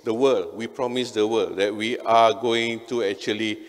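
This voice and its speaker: A man's voice: fairly low pitch, steady tone, moderate pace. A middle-aged man with a Malaysian accent giving an informal, conversational presentation to an audience, with frequent tag words. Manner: conversational, frequent "right?" and "okay" tags. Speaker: conference speaker, engineer. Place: indoor hotel event hall on stage. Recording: reverberant hall PA sound.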